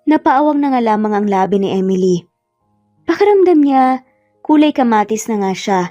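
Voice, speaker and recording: A woman's voice: a little high, dark tone, no echo. A woman with a Filipino accent narrating a scripted romance story. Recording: slightly muffled, music bed underneath. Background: background music.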